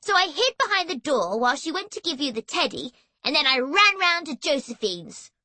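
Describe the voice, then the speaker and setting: A woman's voice: high voice, sing-song, fairly quick, bright, forceful tone, dry recording, voice pushed up, full character voice. A woman voicing a haughty, unfriendly young girl in a children's storybook, a big sister with a curt, indignant manner.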